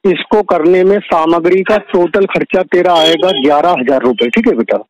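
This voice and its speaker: A man's voice: fairly high pitch, moderate pace, a little hiss or hum. A man speaking as a self-styled holy man on the phone, insistent and paternal, repeating himself and checking that he is understood. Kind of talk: phone conversation, sales pitch. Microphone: telephone. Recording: muffled lo-fi recorded phone call.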